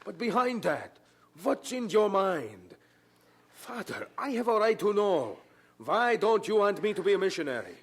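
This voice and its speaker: A man's voice: high voice, big pitch swings, normal speed, slightly rough, heavily acted. A man playing an earnest, defiant young son in a radio drama, pleading and questioning insistently. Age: young adult.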